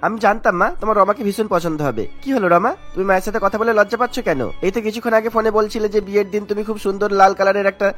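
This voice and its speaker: A man's voice: high voice, steady tone, very fast, few pauses, fairly bright tone, soft background music. A man voicing an eager, dutiful grown son in a cartoon story, coaxing and earnest.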